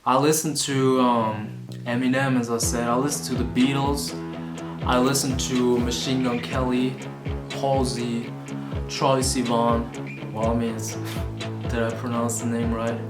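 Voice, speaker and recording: A man's voice: mid-range voice, level tone, unhurried. Rambling young man, echoey room. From Vietnam. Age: 20s. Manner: rambling, self-conscious, swears casually. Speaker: vlogger and musician. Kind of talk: rambling casual monologue to camera. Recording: roomy sound with music underneath.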